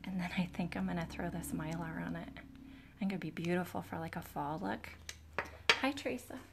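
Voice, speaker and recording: A woman hosting a live nail tutorial in a chatty, relaxed way, with a soft, breathy, soothing voice that often drops near a whisper, close to the microphone. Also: lowish voice, moderate pace, background noise. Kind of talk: chatty live-stream demonstration, greeting viewers. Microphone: close to the camera's microphone.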